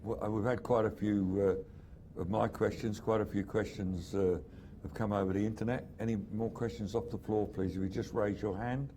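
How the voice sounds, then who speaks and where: A man's voice: lowish voice, steady tone, moderate pace, dark tone, slight background noise. A man moderating a question session, briefly asking the audience for questions. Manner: brief, polite, calls for questions.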